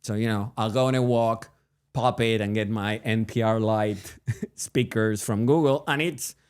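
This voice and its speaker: A man's voice: on the low side, fairly even intonation, measured pace, a little mellow, smooth. A man from Argentina with an Argentine Spanish accent, co-hosting a podcast in a casual, joking, rambling chat. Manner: joking, rambling, frequent restarts and laughs. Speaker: podcast co-host.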